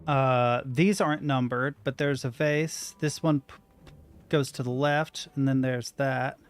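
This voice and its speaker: A man's voice: medium pitch, fairly even intonation, measured pace. A young man thinking aloud as he plays a video game at home, muttering to himself. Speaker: gaming streamer. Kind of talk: let's play commentary. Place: room at home with a window and a rattling door.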